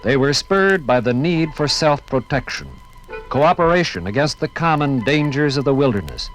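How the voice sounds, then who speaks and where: A man narrating a 1950s radio documentary from a script in formal, dramatic, measured oratory. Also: medium pitch, expressive, fairly bright tone, low-quality recording. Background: music.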